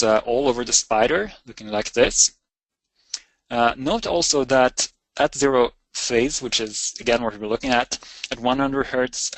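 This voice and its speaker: A man presenting a technical software tutorial in a measured, explanatory way, as in a semi-improvised webinar walkthrough. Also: medium pitch, no echo, rough recording.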